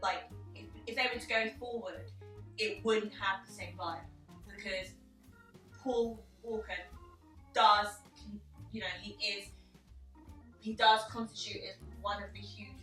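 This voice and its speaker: Bright-voiced woman in an echoey room. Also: medium pitch, fairly even intonation, a little slow, long pauses. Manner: opinionated, emphatic, swears freely. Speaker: film review panelist. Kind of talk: casual group discussion. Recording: echoey room, distant mic, music behind.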